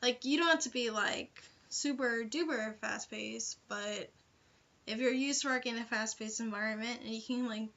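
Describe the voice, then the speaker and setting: Bright-voiced young woman, muffled lo-fi recording. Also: on the high side, normal speed. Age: young adult. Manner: informal, hedging, frequent "like" and "you know". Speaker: vlogger giving interview tips. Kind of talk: casual chatty advice vlog.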